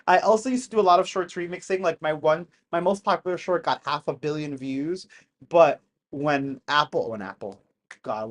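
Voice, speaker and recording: Rambly streamer in their 30s, laughs a lot, mid pitch, chatting from home out in the country. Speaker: live streamer. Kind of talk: casual live-stream chat with viewers.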